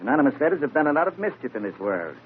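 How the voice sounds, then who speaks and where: A man's voice: medium pitch, big pitch swings, rapid-fire, natural voice. Authoritative older radio-play police inspector, played by a man, blunt, on a tinny, noisy recording. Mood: stern, businesslike. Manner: blunt, commanding. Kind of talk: 1940s radio acting. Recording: narrow-band old radio recording, loud noise.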